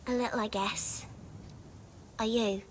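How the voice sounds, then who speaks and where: A woman's voice: fairly high pitch, fairly even intonation, unhurried, a little hiss or hum, close to an everyday voice. A small, innocent peasant girl played by a female performer in a fantasy game. She talks in naive, earnest, rustic phrases, with faint ambience behind. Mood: innocent, matter-of-fact.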